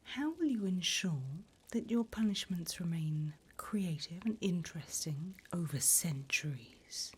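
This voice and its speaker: A demon interviewer from hell, played by a woman, whispers in a low, breathy voice. She is slow, deliberate and courteous, softly menacing and delighted by wickedness, in an intimate ASMR roleplay with some background noise. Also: lively intonation. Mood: politely sinister.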